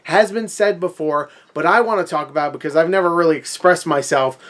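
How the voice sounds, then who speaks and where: A man's voice: on the high side, a little fast, a bit bright. A man giving a casual, unscripted movie rant as an online host, conversational and opinionated, with emphatic repetition. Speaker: YouTube movie reviewer and host.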